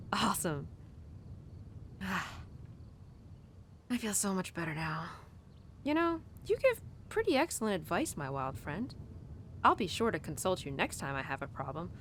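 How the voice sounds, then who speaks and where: Low-voiced young cowgirl played by a woman, ambient noise behind. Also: a bit bright. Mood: relieved, playful. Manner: casual, rambling, sighing and giggly. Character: young animal-loving cowgirl confiding tenderly in her horse. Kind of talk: intimate audio roleplay addressed to the listener.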